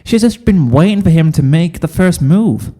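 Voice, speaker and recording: A man voicing an excitable, curious young country girl pony, eager and gushing, in a storybook reading. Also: on the high side, moderate pace, in a large hall, pitched above the performer's own voice. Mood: cheerful.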